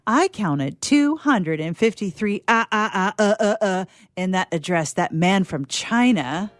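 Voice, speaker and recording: A woman's voice: mid-range voice, lively intonation, unhurried, no echo, lightly acted. A young actress playing a petulant, self-absorbed young woman, complaining theatrically to her mother in an old-fashioned radio theatre monologue. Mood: scornful.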